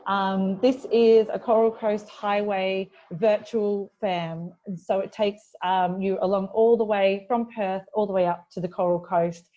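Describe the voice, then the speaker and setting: A woman's voice: mid-range voice, fairly even intonation, a little slow, hardly any pauses, dark tone. A woman hosting a webinar in a friendly way with many fillers, speaking over a video call. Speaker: webinar host. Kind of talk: webinar presentation. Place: office in the United Kingdom. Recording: dull lo-fi video call audio.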